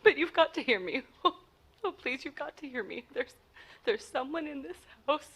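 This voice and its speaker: A neurotic, demanding invalid woman in 1940s radio melodrama. Her voice is high and bright, with stammered, urgent phrases and a haughty, fearful insistence. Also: expressive, normal speed. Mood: hushed, frightened. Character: nervous, demanding invalid wife, terrified for her life. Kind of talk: 1940s radio play acting.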